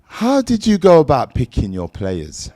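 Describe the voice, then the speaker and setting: Lively host with an expressive voice. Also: male voice, a little high, measured pace, no echo, clean studio sound. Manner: lively, laughing, eager. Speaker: radio host and singer. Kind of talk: casual radio interview. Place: radio studio.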